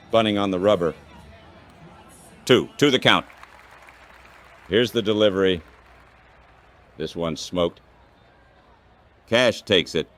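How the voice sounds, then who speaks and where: A man calling baseball play-by-play as an announcer, in short, measured phrases. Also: low voice.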